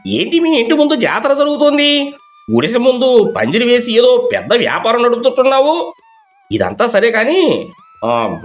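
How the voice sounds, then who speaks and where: A man's voice: high-pitched, a bit bright. A man voicing a greedy, sneering village moneylender with a pompous, demanding manner in a moral story.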